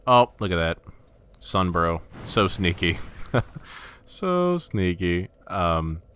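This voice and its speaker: Deep-voiced man doing wry game commentary. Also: sing-song, takes it slowly, a bit bright, a little hiss or hum. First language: not Russian. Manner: wry, self-deprecating, stammers when flustered. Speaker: gaming commentator. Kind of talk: casual gameplay commentary.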